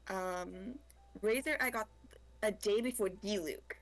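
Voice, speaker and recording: A woman with an average-pitched voice talks casually as a guest on a game stream. She is a little hesitant and rephrases as she goes, with faint music underneath. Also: slow. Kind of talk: casual chat about gaming.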